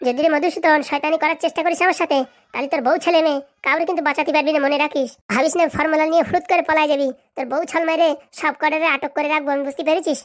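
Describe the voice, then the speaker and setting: Woman acting cartoon village boss lady, high voice, rapid-fire, bossy, menacing. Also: fairly even intonation, close to an everyday voice. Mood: threatening. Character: scheming, threatening, power-hungry village boss woman. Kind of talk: comedy cartoon dialogue.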